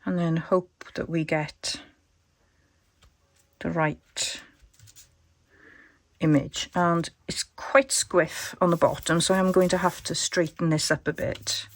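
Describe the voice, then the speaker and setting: Lady doing a craft tutorial, bit croaky, lowish voice, chatty thinking out loud. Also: flat delivery, slow, long pauses, no room sound. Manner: chatty, thinks aloud while working. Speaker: crafter demonstrating junk journal covers.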